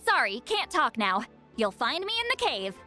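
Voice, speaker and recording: A woman playing a proud, sharp-tongued high priestess who flusters easily, in a video game. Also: very animated, moderate pace, soft background music, heavily acted. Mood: smug, brisk. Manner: bossy, brash, theatrical. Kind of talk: video game cutscene voice acting.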